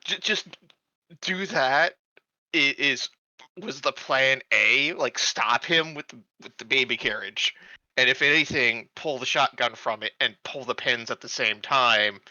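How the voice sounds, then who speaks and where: A man's voice: mid-range voice, unhurried, sharp tone, no room sound, lo-fi sound. A man chatting casually as a player in a tabletop roleplaying game.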